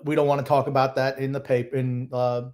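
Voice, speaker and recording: A man's voice: mid-range voice, level tone, brisk, darkish tone. An older man co-hosting a sports podcast, giving opinionated, sardonic takes in a casual, grumbling way.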